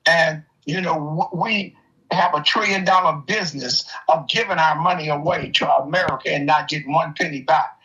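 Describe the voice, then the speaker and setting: A man's voice: on the high side, normal speed, bright, forceful tone. An older man in casual, opinionated conversation, telling stories in an emphatic, streetwise way. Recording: dull, lo-fi audio.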